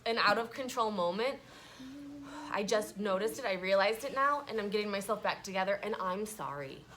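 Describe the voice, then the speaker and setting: Animated, bright-voiced woman teacher reading aloud. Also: medium pitch, fairly quick, a little hiss or hum. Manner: animated, conversational, checking in with questions. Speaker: elementary school teacher. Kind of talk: children's story read-aloud with class discussion. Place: classroom with children.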